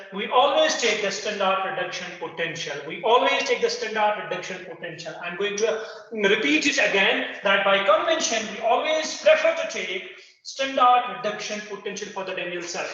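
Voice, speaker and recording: A man's voice: sits high, sharp tone. A young man teaching chemistry at a whiteboard to a class, repeating key terms and quizzing his students. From Kargil. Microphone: far camera. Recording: echoey room, dull lo-fi sound.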